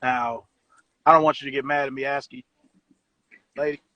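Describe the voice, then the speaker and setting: A man's voice: medium pitch, fairly even intonation, moderate pace, bright, forceful tone, no echo. A man of about forty hosting a casual interview in an informal, slangy, teasing way. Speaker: interview host.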